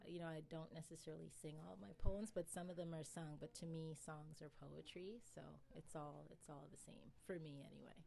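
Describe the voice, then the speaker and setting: A woman poet answering interview questions in a thoughtful, easygoing way, laughing often and pausing with fillers. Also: low-pitched, fairly even intonation, vocal fry, background noise. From England, raised in Nova Scotia.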